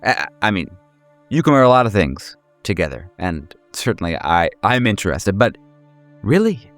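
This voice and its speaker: A man's voice: low voice, sing-song, moderate pace, dry recording, soft background music. A man voicing a coy, playful woman who teases and mock-scolds, in a tabletop roleplaying game. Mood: mock-scolding. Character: coy, playful, teasing woman under witness protection.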